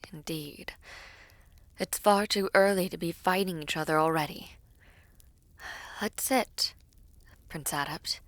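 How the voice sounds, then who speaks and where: A woman playing a composed, caring young princess in an audio drama, soft and earnest, often slipping into a breathy hush. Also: fairly low pitch, slow pace, long pauses, dry recording. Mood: pleading, firm. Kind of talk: audio roleplay drama acting.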